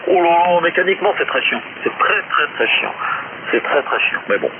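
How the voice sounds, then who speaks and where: A man's voice: a little high, expressive, moderate pace. Man on a narrow, noisy shortwave radio. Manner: informal, self-deprecating, colloquial. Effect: shortwave radio transmission. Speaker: amateur radio operator. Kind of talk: casual chat over ham radio. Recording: narrow-band shortwave radio with clear static.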